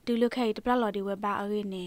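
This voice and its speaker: A woman's voice: rapid-fire, no echo. A woman presenting scripted news as a radio newsreader.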